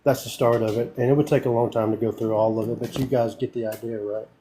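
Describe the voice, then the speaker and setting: A man from Northeast Tennessee speaks with a plain country drawl, earnest and a little rambling as he explains his plans to a group. His voice is warm and mellow. A faint hiss sits behind it. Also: medium pitch, steady tone, fast, low-quality recording. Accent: East Tennessee country. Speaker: community project speaker. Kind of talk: casual group call. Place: at home in South Houston.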